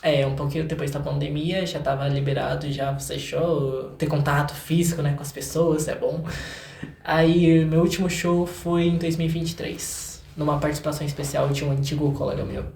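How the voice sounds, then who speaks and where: A man's voice: fairly high pitch, steady tone, normal speed, vocal fry, a little mellow. A young Brazilian man chatting casually and playfully as an interview guest, with an informal, self-deprecating way of talking. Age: young adult. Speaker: singer-songwriter guest. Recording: echoey, roomy sound.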